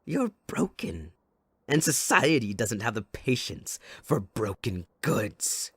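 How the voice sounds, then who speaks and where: A theatrical, swooping sadistic villain. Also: male voice, fairly low pitch, big pitch swings, husky, no echo, full character voice. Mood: cruel, coaxing. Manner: taunting, drawn-out, theatrical delivery. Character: theatrical sadistic male villain, Joker-like, mocking and possessive. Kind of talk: ASMR audio roleplay, dramatic monologue.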